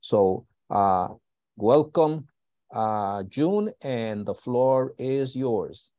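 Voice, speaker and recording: A man hosting an online school session, giving housekeeping announcements in a halting way with many uh fillers. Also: mid-range voice, mellow, no room sound, highs cut off. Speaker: host and school founder. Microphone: video call.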